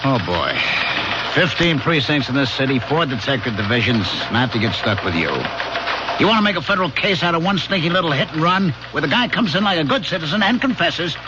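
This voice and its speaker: A man's voice: a little high, big pitch swings, a little fast. A man playing a world-weary, sarcastic police lieutenant in a radio drama, talking brusquely and colloquially. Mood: exasperated. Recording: muffled old radio broadcast, clear hiss.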